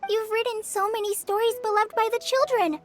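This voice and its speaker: A woman's voice: very high voice, steady tone, a little fast, music in the background. A woman voicing a tiny, childlike companion sprite in a video game, frank and chatty in a cutesy, blunt way. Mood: encouraging.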